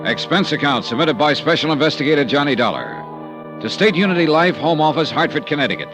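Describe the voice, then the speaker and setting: A man's voice: on the high side, big pitch swings, fast talker. An actor playing a wry hard-boiled male insurance investigator who narrates, dry and clipped, in 1950s radio drama style. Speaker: narrator and lead. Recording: old radio transcription with music underneath.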